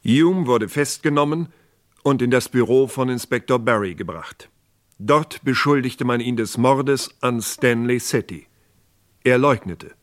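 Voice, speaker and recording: A man narrating a scripted German radio crime drama in a measured, factual manner. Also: mid-range voice.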